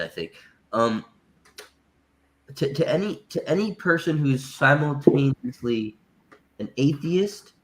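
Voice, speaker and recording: A man's voice: medium pitch, slight background noise. A man with a nasal, congested voice, calling in to a livestream and talking casually, with many restarts and hesitations. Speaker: caller.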